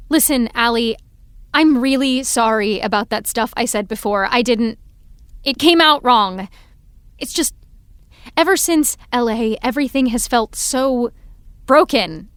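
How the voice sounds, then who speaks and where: A woman plays an anxious young woman in an audio drama. Her slightly high voice moves slowly and nervously, rambling and putting herself down. The sound is close and dry. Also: animated, fairly bright tone, smooth, no echo. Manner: nervous, rambling, self-deprecating.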